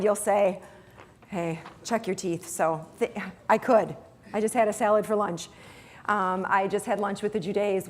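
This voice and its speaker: A middle-aged woman, a college president, talking casually and chattily to staff, with restarts and self-deprecating asides. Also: mid-range voice. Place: large auditorium with audience. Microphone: handheld mic, far camera.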